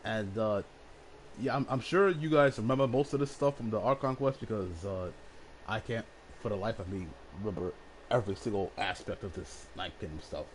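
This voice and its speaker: A man's voice: lowish voice, normal speed, slight background noise, low-quality recording. A man streaming a game and explaining the story casually, rambling with plenty of fillers. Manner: rambling, many fillers, relaxed. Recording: game music behind the voice.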